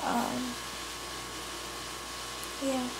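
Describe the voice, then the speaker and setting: Young woman vlogger, casual, higher pitch, phone held close, background hum. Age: young adult. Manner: relaxed, chatty, drawn-out fillers. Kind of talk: casual vlog talk to camera. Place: small bedroom.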